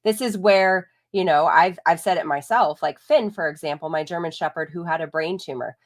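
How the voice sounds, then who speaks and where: A woman's voice: on the low side, moderate pace. A woman veterinarian giving a chatty live talk while congested from a chest cold, explaining things with lots of "like" and "right?". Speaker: holistic veterinarian. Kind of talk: live-streamed educational talk.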